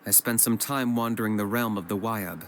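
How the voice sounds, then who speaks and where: A man playing a calm, serious young warrior in a video game, measured and matter-of-fact. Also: on the low side, a little hiss or hum, close to an everyday voice. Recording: music behind the voice.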